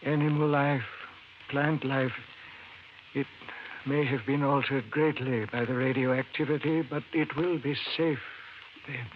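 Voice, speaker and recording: An actor playing a frail, dying old professor in an old-time radio drama, speaking weakly, haltingly and with urgency. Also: male voice, mid-range voice, fairly even intonation, lightly acted. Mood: earnest, reassuring. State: weak, failing. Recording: muffled, hissy old radio recording.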